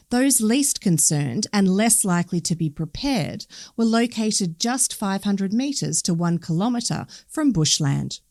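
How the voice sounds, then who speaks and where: A woman with an Australian accent narrates a written news article. Her voice sits a little low, and the recording is very dry, with no room sound. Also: darkish tone, no echo. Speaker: newspaper story reader.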